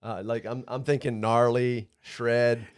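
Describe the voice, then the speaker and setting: Male podcast host, mid pitch, upbeat and chatty, laughs easily, casual studio interview delivery. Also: flat delivery, measured pace, clean tone.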